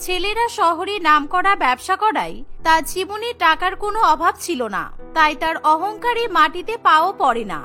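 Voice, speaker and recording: Very high, bright woman storyteller. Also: lively intonation, moderate pace, soft background music. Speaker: story narrator. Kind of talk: scripted storybook narration for cartoon.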